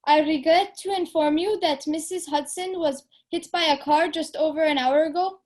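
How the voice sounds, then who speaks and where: A woman's voice: sits high, fairly even intonation, normal speed, darkish tone, lightly acted. A young woman playing a female doctor who speaks in a formal, clipped way in an amateur audio drama.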